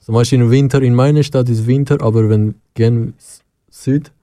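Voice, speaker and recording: A young man from Iran's region, speaking halting second-language German and laughing often as an interview guest. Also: medium pitch, steady tone, dark tone, no room sound. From Iran, Kurdish west. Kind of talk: interview conversation.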